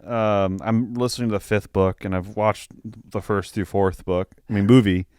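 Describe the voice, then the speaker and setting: Clear-voiced man in joking podcast chat. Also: fairly low pitch, fairly even intonation, moderate pace, darkish tone, no echo, studio quality. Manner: joking, giggly, informal. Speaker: podcast co-host. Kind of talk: casual comedic chat.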